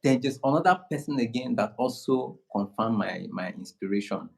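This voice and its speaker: A man from Nigeria with a Nigerian accent, speaking slowly and calmly in casual conversation, often restarting his sentences. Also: mid-range voice, gravelly, husky. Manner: slow, unhurried, many restarts. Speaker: guest creator.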